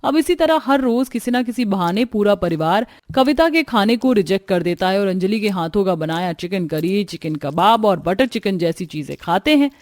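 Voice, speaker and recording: A woman's voice: mid-range voice, lively intonation, moderate pace, few pauses, a little mellow, dry recording. A woman narrating a scripted family story as a storyteller.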